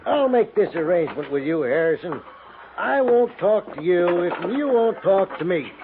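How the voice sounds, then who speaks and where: A man's voice: high-pitched, sing-song, normal speed. An American man playing a dry, teasing older cavalry trooper with a laconic, folksy drawl in a 1950s radio western. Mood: wry. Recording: tinny, noisy 1950s radio recording.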